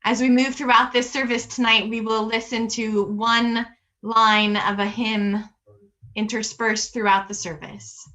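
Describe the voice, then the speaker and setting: A woman delivers a liturgical call to worship in a slow, bright voice. Her manner is solemn and reflective, pitched a little high, as heard over a video call. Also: level tone, low-quality recording. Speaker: worship leader.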